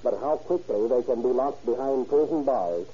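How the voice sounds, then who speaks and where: A man gives a formal, scripted report as a police chief on 1930s radio. His voice is dark and rough-edged, delivered stiffly. The old recording sounds muffled. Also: medium pitch, normal speed.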